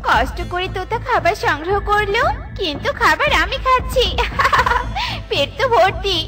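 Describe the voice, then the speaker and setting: A woman's voice: very high voice, big pitch swings, normal speed, over music. A woman voicing a lazy, selfish, greedy female crow in a cartoon, with a brusque, scheming manner. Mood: smug. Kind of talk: Bengali cartoon voice acting.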